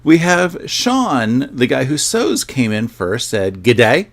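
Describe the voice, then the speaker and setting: A man's voice: mid-range voice, wildly swooping pitch, slow. A man hosting a sewing livestream, chatting casually to his viewers in a rambling, self-joking way.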